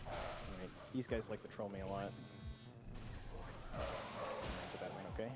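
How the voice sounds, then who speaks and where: A man's voice: low voice, fairly even intonation, measured pace, heavy background noise. A man playing a game live and casually explaining its mechanics as he goes, with loose fillers. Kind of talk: casual live gameplay commentary. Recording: loud game music behind voice.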